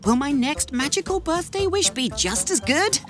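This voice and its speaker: A woman's voice: high-pitched, expressive, normal speed. A woman voicing a cheerful, eager young boy train in a children's cartoon, bouncy and earnest. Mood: excited. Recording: music under the voice.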